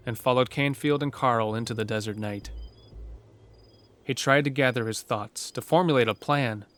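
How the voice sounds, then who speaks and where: A man's voice: on the low side, a bit bright. A man reading scripted narration for a horror audio drama, as a storyteller. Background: music under the narration.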